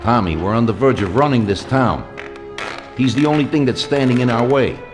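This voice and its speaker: A man plays a ruthless crime boss in a video game. He is commanding and calculating, speaking at a medium pitch. Clear music runs behind the dialogue. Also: fairly quick, close to an everyday voice. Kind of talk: video game cutscene acting.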